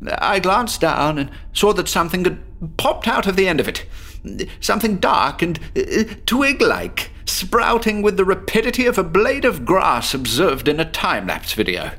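A man's voice: on the high side, fairly bright tone, exaggerated voice. A man playing a troubled psychiatric patient in a horror audio drama, agitated and stammering as he confesses his tale. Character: troubled one-armed male patient haunted by an inner voice.

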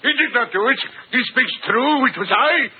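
An actor playing a vengeful, fanatically devoted peasant servant with stilted, melodramatic delivery in a 1940s radio drama. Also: male voice, very high voice, animated, normal speed, husky, heavily acted. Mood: defiant, desperate. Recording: tinny 1940s radio recording.

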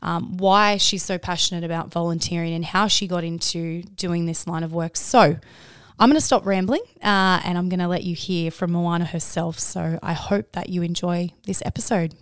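Woman podcast host, smooth resonant voice, chatty and encouraging, dry close mic. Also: lowish voice, fairly even intonation, normal speed, few pauses, dry recording. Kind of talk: podcast interview hosting.

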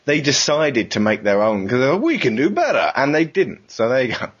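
A man's voice: mid-range voice, sing-song, a little fast, slightly rough, muffled recording. A man in a casual podcast discussion, talking in a wry, rambling, sarcastic way. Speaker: podcast panelist.

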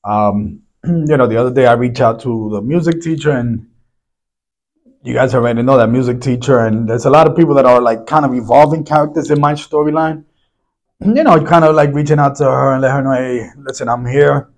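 A hoarse man who is losing his voice hosts a solo podcast, rambling loosely and clearing his throat often. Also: mid-range voice, a little fast, a little mellow. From New York City. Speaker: podcast host.

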